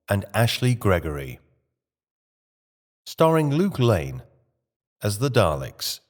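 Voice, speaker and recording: A man's voice: on the low side, a little mellow. A man reading scripted credits as the announcer of an audio drama. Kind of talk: scripted narration.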